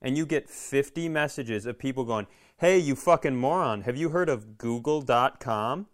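A man's voice: medium pitch, moderate pace. A man co-hosting a comedy podcast, talking in casual banter and profane, exasperated rants. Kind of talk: casual comic banter and ranting.

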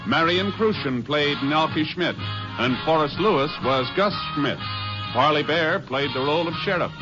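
An American man announcing a 1950s radio drama in a formal, scripted, declarative way. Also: a little high. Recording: dull old radio recording, loud music.

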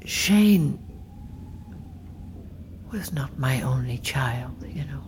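An actress playing a middle-aged, bitter, quietly menacing farm widow who grieves her son, speaking in a deliberate hush in a naturalistic audio drama. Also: very deep voice, animated, a little hiss or hum, heavily acted. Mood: bitter grief. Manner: slow, deliberate, hushed.

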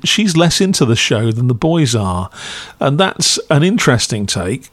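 A man's voice: medium pitch, dry recording. A man hosts a podcast, reading a scripted review in a chatty, opinionated way with wry asides. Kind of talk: scripted review narration, conversational.

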